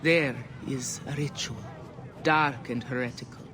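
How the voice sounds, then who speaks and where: A man's voice: mid-range voice, fairly even intonation, a little slow, natural voice. A man playing an ominous, mystical ancient seer in a video game, speaking in portentous, incantatory phrases. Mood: secretive. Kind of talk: dramatic video game acting. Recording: heavy background noise, outdoor ambience.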